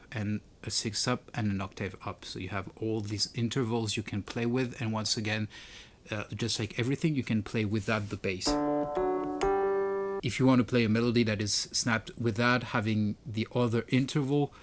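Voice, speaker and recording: A man's voice: on the low side, steady tone, moderate pace. A man presenting a music gear tutorial in a casual, explanatory way. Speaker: presenter demonstrating a music pedal. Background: keyboard and synth demo notes.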